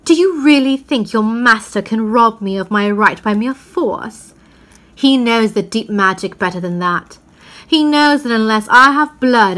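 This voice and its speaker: A woman voicing a cruel, imperious witch queen in a storybook reading, with a shrill, declamatory delivery. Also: sharp tone. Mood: savage scorn.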